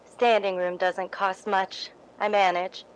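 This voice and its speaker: A woman's voice: medium pitch, flat delivery, slow, slight background noise, close to an everyday voice, rough recording. A woman playing a timid, humble, starstruck young woman in a 1950s radio drama. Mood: shy, deferential.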